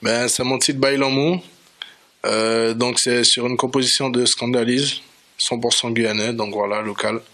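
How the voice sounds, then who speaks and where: A man's voice: mid-range voice, steady tone, a little slow, bright, forceful tone. A man from French Guiana, a reggae dancehall artist, talking casually as a guest in a radio studio interview.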